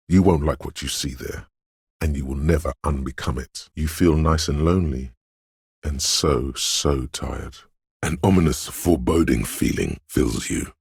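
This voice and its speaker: A man voicing a brooding, mystical inner voice of intuition in a video game, with solemn, dreamlike narration and a gravelly bass. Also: no room sound, studio quality, heavily acted. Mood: ominous. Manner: slow-savouring, solemn, dreamlike delivery. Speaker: game voice actor.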